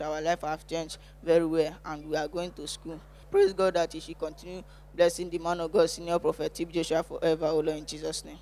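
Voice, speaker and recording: A teenage boy with a Nigerian accent recites a testimony. His voice is fairly high and very even in pitch. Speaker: student giving testimony.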